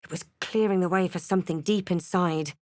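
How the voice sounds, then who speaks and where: A woman playing a thoughtful, resolute fantasy adventurer in a video game, with a measured, dry and brooding delivery. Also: fairly low pitch, fairly even intonation, no echo, close to an everyday voice. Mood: brooding, recounting. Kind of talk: dramatic video game narration and character lines.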